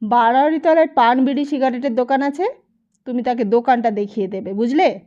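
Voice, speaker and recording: A woman's voice: on the high side, warm tone, lo-fi sound, natural voice. A woman reading the part of a stingy, suspicious village landlord who gives orders and fires off questions in an audiobook. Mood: bossy, stingy. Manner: peremptory, rapid-fire questioning.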